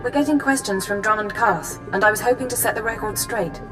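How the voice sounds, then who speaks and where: An actress playing a loyal, earnest intelligence officer in a video game, speaking formally yet in a friendly way. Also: female voice, medium pitch, brisk, lightly acted. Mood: cordial, professional. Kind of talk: scripted dramatic game acting. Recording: reverberant, loud music behind.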